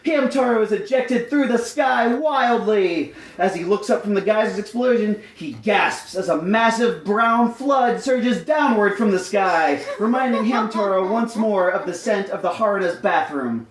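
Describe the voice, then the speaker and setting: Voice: medium pitch, lively intonation, measured pace, few pauses. A comedy storyteller reading a scripted tale live in an amused, mock-formal storybook manner. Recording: echoey live room.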